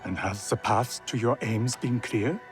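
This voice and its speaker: A serene, wise mentor played by a man speaks slowly at a medium male pitch. He is measured and philosophical, in game cutscene style, with soft music behind. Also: lightly acted. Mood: calm. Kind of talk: video game cutscene acting.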